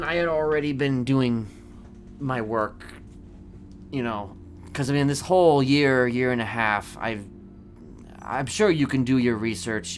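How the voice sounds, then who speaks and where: A man playing a calmly boastful, evasive serial killer in improvised crime roleplay, rambling and hesitant as he confides. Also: mid-range voice, slight background noise, lightly acted. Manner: halting, rambling, hesitant delivery.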